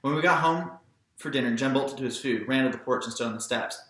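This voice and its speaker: A man reads a novel as an amateur narrator, dramatizing it as he goes. His voice is bright and sits at a medium pitch. The room is heavily echoey. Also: quick pace. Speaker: amateur audiobook reader.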